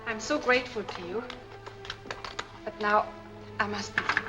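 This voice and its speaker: A woman's voice: medium pitch, steady tone, normal speed, lightly acted. An actress playing a mysterious, polite Frenchwoman with a French accent and a pleading manner in a 1940s film noir. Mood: polite, hesitant. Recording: 1940s film soundtrack, music underneath.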